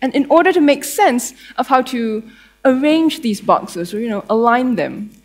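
Young woman, Malaysian accent, higher-pitched voice, conference presenter, conversational, playfully dry. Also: animated, moderate pace. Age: young adult. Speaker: conference speaker, developer advocate. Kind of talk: conference tech talk. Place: theatre stage before an audience.

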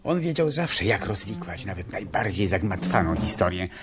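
An actor playing a reflective, wry amateur detective in a 1970s radio play, musing and telling the story in measured phrases. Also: male voice, low-pitched, very animated, loud music behind the voice. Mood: wry narrating. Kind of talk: 1970s radio play acting with first-person narration.

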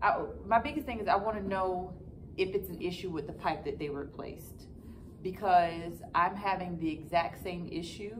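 A woman in her thirties giving a frustrated, matter-of-fact update in a casual vlog style, recorded in a classroom a few feet from the camera. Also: lowish voice. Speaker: vlogger giving a customer review. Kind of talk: casual update talk to followers. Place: school classroom, indoors. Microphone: camera a few feet away. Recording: clear background noise, phone-like distance in room.

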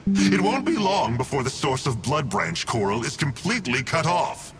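A man's voice: mid-range voice, very animated, moderate pace, natural voice. A man playing a smug, scheming villain in a video game, glib, theatrical and mocking, with playful flippancy. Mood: flippant. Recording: music playing behind the voice.

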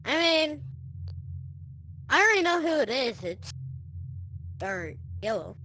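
A woman playing a VR game, excitedly bossing the others around and shouting orders. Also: sits high, slow, poor audio. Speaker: VR game player. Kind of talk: casual game chat.

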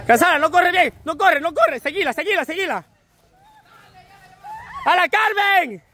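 Excitable high-voiced Salvadoran man. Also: a faint crowd. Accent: Salvadoran Spanish. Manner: shouts encouragement, repeats words rapidly. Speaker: vlog host filming a group game. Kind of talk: excited live game commentary. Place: outdoor park lawn with crowd.

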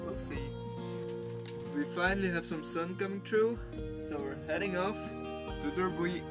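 Young man, Dutch speaker, slightly high voice, casual travel vlog, clear music behind. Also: moderate pace. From Belgium. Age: 20s. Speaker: travel vlogger. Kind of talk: casual vlog narration to camera. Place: inside a camper van and outdoors on trips. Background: background music.

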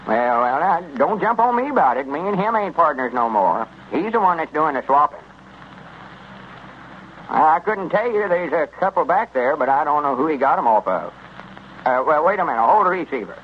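Exasperated country storekeeper, rural Southern drawl, folksy, grumbling, old radio comedy, muffled noisy recording. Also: male voice, on the high side, very animated, normal speed. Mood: defensive.

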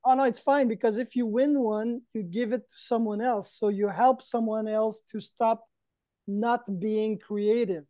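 A man's voice: high-pitched, steady tone, unhurried, mellow, no room sound. A man in his thirties or forties co-hosting an online event with jokey, mock-earnest banter. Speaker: event co-host. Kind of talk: casual playful banter. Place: indoors in front of a green backdrop, on a video call.

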